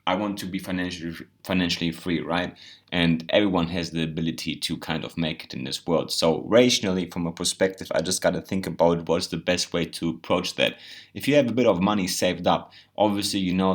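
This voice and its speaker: A man's voice: deep voice, a bit bright. A man from Germany with a German accent, a native German speaker, giving a rambling, earnest casual monologue as a crypto vlogger. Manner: rambling, earnest, repeats words and says "you know".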